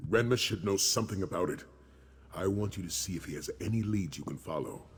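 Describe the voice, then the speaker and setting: Deep-voiced, grave warrior mentor. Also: male voice, a little mellow. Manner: measured, solemn, formal. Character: grave, wise male dragon-hunter commander and mentor. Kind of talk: video game dialogue acting. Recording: music behind the voice.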